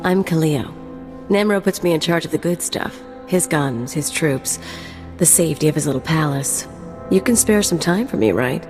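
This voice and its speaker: Sultry, dangerous female enforcer, low-voiced, teasing threats, game dialogue in a reverberant hall with music. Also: lively intonation, moderate pace. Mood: flirtatious, teasing. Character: sultry, menacing female security chief, flirtatious and dangerous. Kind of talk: video game dialogue acting.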